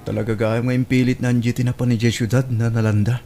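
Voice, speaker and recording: A man's voice: mid-range voice, level tone, fast, music in the background, natural voice. A man playing a devoted, respectful young provincial suitor in a family radio drama. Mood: downcast.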